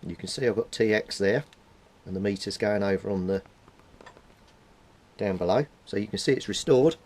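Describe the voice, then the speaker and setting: A man's voice: fairly low pitch, moderate pace, darkish tone. A middle-aged British man giving a casual hands-on demonstration, informally thinking aloud as he works at a bench. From Britain. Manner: informal, thinking aloud while handling equipment. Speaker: hobbyist radio repairer presenting a video. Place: indoors at a cluttered workbench.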